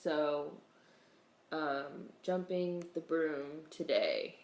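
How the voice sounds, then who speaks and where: A woman hosting a casual livestream, greeting viewers in a relaxed, chatty way while congested with a cold. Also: deep voice, very slow, roomy sound. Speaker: livestream host.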